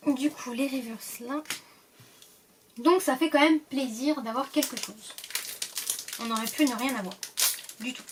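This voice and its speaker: A woman's voice: high voice, a little slow, pauses often, distant mic, background noise. A woman with a cold, casually opening card packs and reading the card names aloud, often hesitating and trailing off. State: stuffy from a cold. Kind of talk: casual pack-opening commentary.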